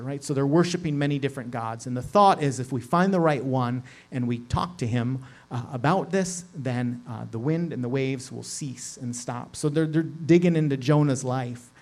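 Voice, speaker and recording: A man's voice: mid-range voice, a little mellow. A middle-aged man preaching a sermon in a casual, conversational way, often asking "right?" and adding "like". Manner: casual, lots of "like" and "right?" asides. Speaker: pastor.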